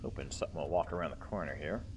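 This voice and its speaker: A man's voice: a bass voice, racing. A man giving casual commentary as he plays a video game. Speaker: gamer, let's play host. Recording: faint game noise behind. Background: video game sounds.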